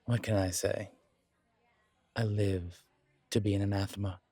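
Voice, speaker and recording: A man playing a wry, self-deprecating alpha werewolf in an audio drama, with a sardonic, measured delivery. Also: lowish voice, flat delivery, mellow, breathy edge, dry recording. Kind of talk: scripted audio roleplay drama.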